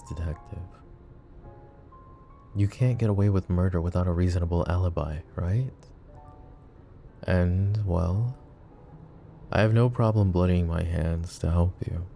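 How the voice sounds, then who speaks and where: A man voicing a possessive, menacing mafia boss in a roleplay audio drama, speaking calmly and softly with occasional whispers, close to the microphone. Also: unhurried, vocal fry, long pauses, soft background music. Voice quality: low, soft, close-mic murmur with whispers. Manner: calm, controlled, coolly threatening and doting. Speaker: voice actor. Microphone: close ASMR microphone.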